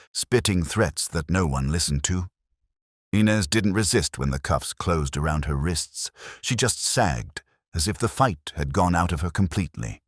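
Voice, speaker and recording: A man narrating a detective story in the first person, with a gravelly voice and dry, hard-boiled delivery. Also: low-pitched, no room sound. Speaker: audiobook narrator.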